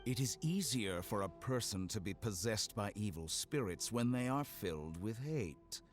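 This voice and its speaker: Game villain: male actor as a low, unhurried, mockingly courteous mad scientist, sweeping intonation, music behind. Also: lively intonation, lightly acted. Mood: gloating, cruel. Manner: slow, deliberate, mockingly courteous. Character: suave, sadistic villain scientist, calm and mockingly polite.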